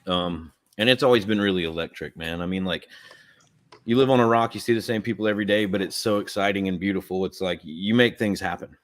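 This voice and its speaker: Guy talking loose on a stream, really low voice, lots of "like"s. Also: fast talker. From Southern US. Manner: rambling, heavy use of "like". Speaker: comic co-creator. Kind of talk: casual livestream chat.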